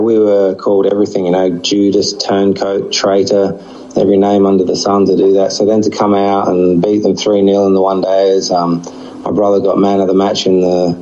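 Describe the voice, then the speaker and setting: A man in his forties whose accent blends Australian and English, talking frankly and reflectively about his playing days. His voice sits low, and the recording sounds dull and lo-fi. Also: warm tone. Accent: mix of Australian and English. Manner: frank, reflective, with a wry laugh. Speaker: former cricketer, guest. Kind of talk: candid interview answers. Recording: dull lo-fi audio.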